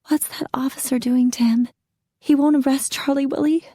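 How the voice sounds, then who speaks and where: A woman voicing a frightened young battered wife who pleads in a shrill, panicky way. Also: big pitch swings, takes it slowly, dark tone, slightly rough, no room sound, studio quality, exaggerated voice. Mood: anxious.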